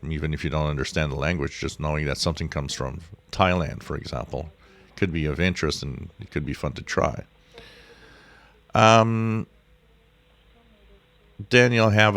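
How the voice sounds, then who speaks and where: A man with a very low, gravelly voice gives an informal tutorial. He takes his time and thinks aloud as he explains, like a hobbyist showing how he does things. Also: fairly bright tone. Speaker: shortwave radio hobbyist presenting a tutorial. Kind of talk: casual explanatory screen-share tutorial.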